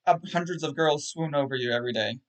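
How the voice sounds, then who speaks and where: Man, slightly higher voice, self-deprecating, stammering restarts, casual stream chat. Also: fast, fairly bright tone. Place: home setup on a livestream call.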